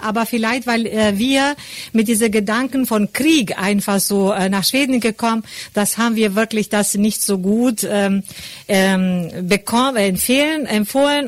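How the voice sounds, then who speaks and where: A middle-aged woman from Kosovo, once a teacher, telling her story with many pauses and ums. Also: a little high, dry recording. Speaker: interviewee, former teacher. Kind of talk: personal account in an interview.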